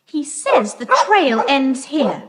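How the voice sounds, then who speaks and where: Bold, high-pitched British tomboy. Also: female voice, big pitch swings, slow pace, in a large hall, noisy background, heavily acted. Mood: keen, determined. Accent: British English. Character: bold, forthright tomboy girl adventurer. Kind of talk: children's audiobook drama acting.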